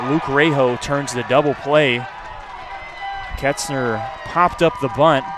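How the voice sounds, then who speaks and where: A man calling a baseball game live as a radio play-by-play announcer. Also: mid-range voice. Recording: outdoor ballpark crowd and ambient noise. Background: ballpark crowd.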